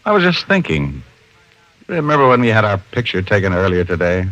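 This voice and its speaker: A man's voice: fairly low pitch, big pitch swings, brisk, fairly bright tone, dry recording, low-quality recording. An actor playing a wry American private detective who narrates his case with dry wisecracks, in old-time radio drama style. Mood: thoughtful. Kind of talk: 1940s-50s radio detective drama acting. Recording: old radio recording, limited band, faint music.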